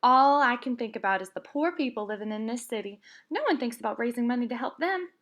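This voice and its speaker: Storybook mama, stern and practical, firm lively reading, by a woman. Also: a little high, quick pace. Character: stern, worried immigrant mother.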